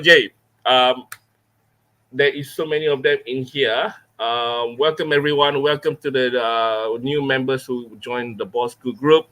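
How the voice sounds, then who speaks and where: A man from Malaysia hosting a live interview, nervous, talking quickly and laughing often. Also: fairly low pitch, fairly bright tone, low-quality recording. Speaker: host of a vaping channel live stream. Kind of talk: casual live-stream interview.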